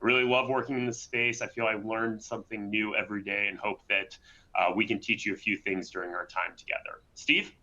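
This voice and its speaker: A man's voice: lowish voice, level tone, brisk, sharp tone, lo-fi sound. A man hosting and presenting a webinar in a conversational way, often tagging on "right?". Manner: conversational, frequent "right?" tags.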